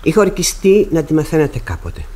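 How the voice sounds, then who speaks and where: A woman's voice: deep voice, sing-song, warm tone. An actress playing a proud middle-aged widow and mother in Greek radio theatre, speaking formally, intensely and dramatically. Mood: resolute.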